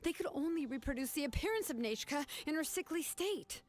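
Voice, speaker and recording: Firm, earnest young heroine. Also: female voice, high voice, animated, brisk. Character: calm, firm young woman confronting a grieving aunt.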